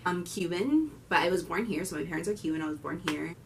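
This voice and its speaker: Woman, 20s, Miami accent, low voice, casual vlogger, slight room noise. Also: fast talker, gravelly, distant mic. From Miami, Florida. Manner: chatty, candid, swings into loud bursts. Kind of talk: casual talk to camera.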